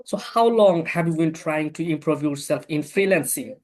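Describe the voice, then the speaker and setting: Interviewer, man, plain measured questions, slightly high voice.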